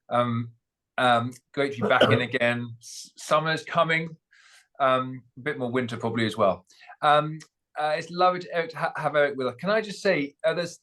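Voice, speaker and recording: A man's voice: mid-range voice, a bit bright. A man co-hosting an online forum, upbeat and chatty, often stumbling over his words. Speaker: co-host. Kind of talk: casual online talk.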